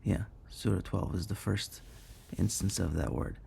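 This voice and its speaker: Native Arabic-speaking man, dark mellow low voice, relaxed and chatty, teaching with frequent fillers. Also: measured pace, vocal fry, slight background noise. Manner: conversational, many fillers and self-corrections. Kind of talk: informal online lesson on Arabic morphology.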